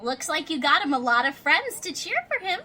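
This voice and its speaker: A woman voicing a perky, excitable young party pony in a cartoon-style audio drama, chirpy and upbeat. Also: high voice, a little fast, faint music behind, full character voice.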